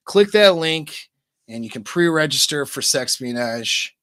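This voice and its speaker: Casual man coaching on a dry mic. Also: dry recording. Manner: demonstrates voice pitch changes, relaxed and chatty. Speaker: dating coach. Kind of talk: casual livestream coaching talk. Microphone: close microphone.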